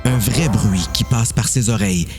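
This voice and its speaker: A man's voice: lowish voice, moderate pace, faint music behind. A man with a Quebec French accent narrating a dramatised horror story.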